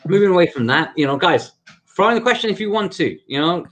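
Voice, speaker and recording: A man's voice: high voice, lively intonation, fast, fairly bright tone. A man hosting a casual livestream interview, talking loosely and repeating words as he thinks. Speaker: host.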